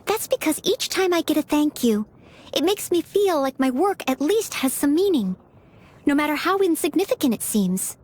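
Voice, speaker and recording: A woman's voice: high-pitched, sing-song, moderate pace, a little mellow. A woman voicing a polite, self-effacing young maid and aspiring knight in a video game, eager to please. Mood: humble, devoted. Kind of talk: video game character voice lines.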